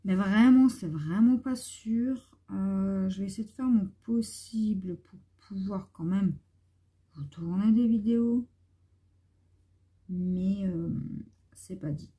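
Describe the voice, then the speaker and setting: A hoarse woman vlogger chatting casually and meanderingly to her viewers into a phone at home. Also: mid-range voice, takes it slowly, dark tone. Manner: rambling, meandering talk.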